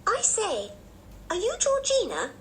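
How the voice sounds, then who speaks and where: Woman as a young storybook girl, high voice, lively swoops, curious, excitable, polite. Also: a little slow, slight background noise, full character voice. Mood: curious, surprised. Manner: eager, exclaiming, polite.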